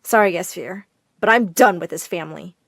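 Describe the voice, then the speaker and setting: A woman voicing a calm, tired young man, the oldest brother, speaking softly. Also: on the low side, moderate pace, gravelly, no echo, clean studio sound, pitched below the performer's own voice. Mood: weary. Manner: soft-spoken, tired.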